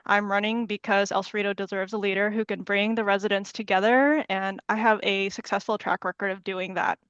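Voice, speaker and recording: A woman's voice: medium pitch, fairly even intonation, brisk, a bit bright, smooth, dry recording, highs cut off. A woman from California in her thirties, a city council candidate giving an opening statement on a video call, hesitant with many fillers and nervous laughs. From California, USA.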